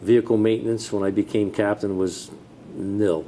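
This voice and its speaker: A middle-aged American man, a fire chief, answering interview questions in a plain-spoken, matter-of-fact, conversational way. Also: normal speed. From New Jersey, United States. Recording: steady background noise with low rumble.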